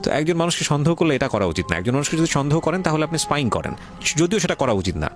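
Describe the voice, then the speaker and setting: Lively, fast-talking Bangladeshi male radio host. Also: a little high, music in the background. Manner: moralizing, emphatic, repeats points, slips in English phrases. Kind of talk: advice-giving interview on a life-story radio show.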